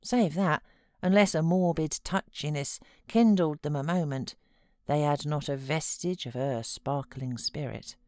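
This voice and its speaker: A woman with a British accent giving measured, expressive literary narration as an audiobook reader. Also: low-pitched, no echo, clean studio sound.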